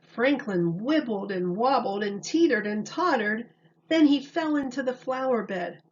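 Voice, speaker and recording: A woman reading a children's story aloud like a teacher, in a deliberate, expressive way. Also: a little high, muffled recording, slight background noise.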